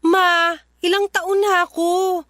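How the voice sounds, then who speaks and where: High-voiced earnest young Filipina. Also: female voice, little pitch movement, takes it slowly, fairly bright tone, no echo, studio quality, exaggerated voice. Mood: pleading defiance. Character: earnest, defiant young adult daughter in love. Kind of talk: Tagalog radio drama acting.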